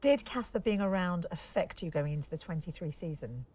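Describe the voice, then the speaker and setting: Documentary interviewer: probing, calm, dark-toned, distant from the mic. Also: female voice, on the low side, brisk, slightly rough.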